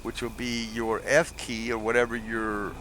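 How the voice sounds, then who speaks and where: A man's voice: mid-range voice, little pitch movement, moderate pace, very noisy. A man giving a casual step-by-step video game tutorial, often checking in with the listener as he explains. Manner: repeatedly checks in with "see" and "okay". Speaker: gamer making a tutorial video. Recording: loud music playing behind the voice. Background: game music and vehicle sounds.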